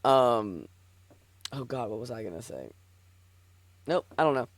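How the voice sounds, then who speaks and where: A man's voice: mid-range voice, lively intonation, a little hiss or hum. A man chatting casually as a stream co-reader, joking and often losing his train of thought. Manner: halting, joking, often loses track. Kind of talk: casual chat.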